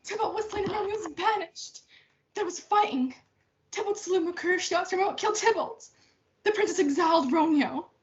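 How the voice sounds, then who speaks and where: A motherly, distressed servant nurse acted by a woman in a stage play. The voice is extremely high, on a muffled, lo-fi recording. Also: moderate pace. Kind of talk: student readers theatre performance.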